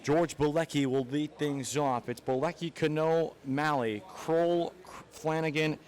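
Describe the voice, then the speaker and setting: A man with an American accent doing live play-by-play commentary of baseball and softball as an announcer. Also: fairly high pitch, a little slow.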